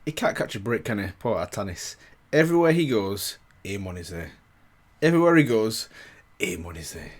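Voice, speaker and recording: Lowish-voiced man hosting a reaction video. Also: very animated, a little fast, a little hiss or hum. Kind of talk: casual reaction commentary.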